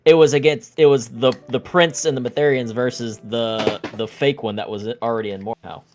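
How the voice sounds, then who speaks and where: Halting man talking through the plan. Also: mid-range voice, steady tone, noisy background. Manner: halting, stumbles and restarts. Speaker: player. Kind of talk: casual banter among players.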